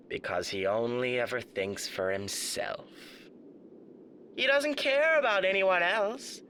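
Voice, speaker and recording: A man's voice: medium pitch, pushed and bright, slight background noise, full character voice. A man voicing a suave, menacing radio demon in a theatrical roleplay monologue, mock-polite, pompous and taunting.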